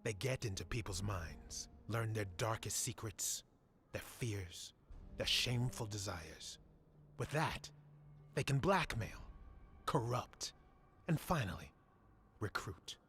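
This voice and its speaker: An actor playing a grave scholar in a fantasy game, speaking in a solemn, deliberate, explanatory way. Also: male voice, lowish voice, expressive, fairly bright tone, slight background noise, full character voice. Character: grave male scholar briefing adventurers on ancient monsters. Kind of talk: scripted fantasy game cutscene acting.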